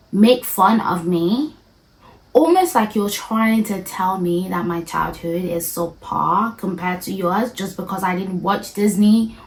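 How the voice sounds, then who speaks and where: A woman in her twenties from Nigeria, with a Nigerian accent softened by British influence, chatting casually to camera as a medical student vlogger in quick, playful bursts. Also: medium pitch, rough recording. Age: 20s. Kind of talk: casual direct-to-camera chat.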